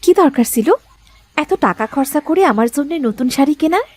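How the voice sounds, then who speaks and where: Sharp-tongued cartoon village wife, high and swooping, as a woman voices her. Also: animated, a little fast. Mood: suspicious. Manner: suspicious, scolding questions. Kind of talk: Bengali cartoon voice acting.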